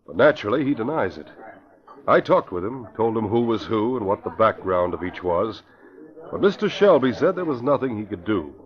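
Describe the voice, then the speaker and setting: An actor playing an earnest, indignant police commissioner, in an old radio drama. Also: male voice, lowish voice, sing-song, moderate pace. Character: middle-aged man, indignant police commissioner. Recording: dull lo-fi old radio recording.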